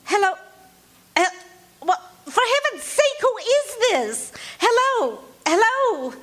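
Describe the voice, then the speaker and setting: A woman's voice: very high-pitched, sing-song, slow pace, a bit bright, heavily acted. A woman acting a frightened bedridden wife in a live radio play, stammering and sobbing. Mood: frantic. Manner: frantic, stammering, breaks into sobs. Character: frightened bedridden wife, middle-aged, anxious and demanding.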